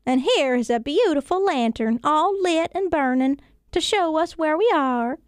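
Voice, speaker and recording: A woman voicing an excitable, practical wife in a children's folktale, with exclamatory delivery. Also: high voice, unhurried, dry recording, studio quality, voice pushed up. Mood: relieved wonder.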